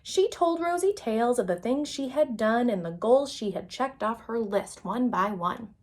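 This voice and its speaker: A woman's voice: on the high side, expressive, moderate pace. A woman reading a children's picture book aloud as a storyteller, expressively and with dramatic emphasis.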